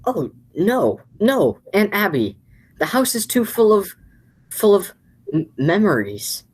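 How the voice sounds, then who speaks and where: A woman playing an excitable, flustered young man in a radio play, with a husky voice and a stammer. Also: medium pitch, slow pace, heavily acted. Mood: shaken.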